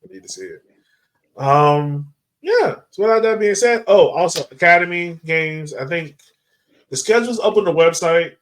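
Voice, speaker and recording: A man's voice: fairly high pitch, expressive, normal speed. A man hosting a casual sports podcast, talking informally and in slang to his co-hosts and listeners. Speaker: podcast host.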